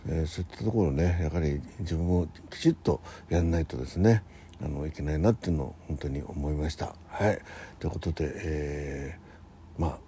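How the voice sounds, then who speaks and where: A man hosting a casual morning talk show on his own, speaking politely in a halting, hesitant way. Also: extremely low pitch, warm tone, a little hiss or hum. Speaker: host of a morning audio show. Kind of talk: casual morning monologue, thanking listeners.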